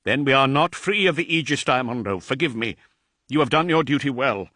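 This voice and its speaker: A man's voice: medium pitch, expressive, a little fast, bright, forceful tone, no room sound, studio quality, pitched above the performer's own voice. A man voicing an ancient vampiric warrior lord, grave and commanding, in an audiobook. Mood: remorseful.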